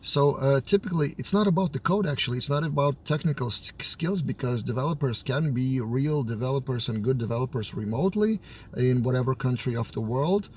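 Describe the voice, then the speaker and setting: A man with a Lithuanian accent speaks in an everyday mid-range voice that sounds a little under the weather from a cold. He thinks out loud, with hesitations and fillers between phrases, as a developer explaining his view on camera. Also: medium pitch, lively intonation, a little fast, slight background noise. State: has a slight cold. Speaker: software developer and team lead. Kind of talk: casual to-camera talk.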